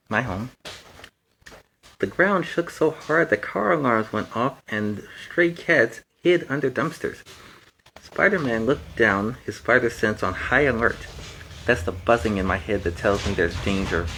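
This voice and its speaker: A man's voice: mid-range voice, a bit bright, a little hiss or hum, poor audio. A man in a superhero persona reading a children's storybook aloud, with chatty, playful asides between the passages.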